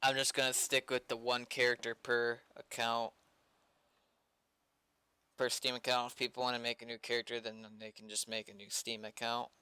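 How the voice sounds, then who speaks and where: Guy streaming a game, thinking out loud, really crisp clean voice, super dry close mic. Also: mid-range voice, level tone, normal speed, no echo. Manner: rambling, thinking aloud. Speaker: game server developer and streamer. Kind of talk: casual gaming livestream chat.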